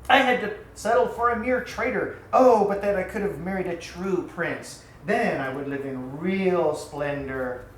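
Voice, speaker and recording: A man's voice: sits high, a little slow, hardly any pauses, recorded from a distance. A man voicing a haughty, sarcastic, spoiled beauty in a storybook tale, complaining imperiously and theatrically. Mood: scornful, dramatic. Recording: roomy echo, faint background noise.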